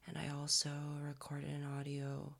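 A young man whispering softly into a close mic, his voice raspy and a little hoarse from a recent cold. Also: fairly high pitch, completely flat delivery. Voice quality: raspy, strained.

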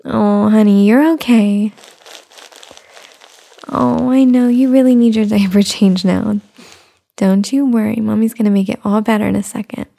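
A woman in a soft-spoken roleplay, whispering and cooing in a soothing way. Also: mid-range voice, fairly even intonation, moderate pace, long pauses. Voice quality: whispery, breathy. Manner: cooing, soothing, talks as to an infant. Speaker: roleplay performer.

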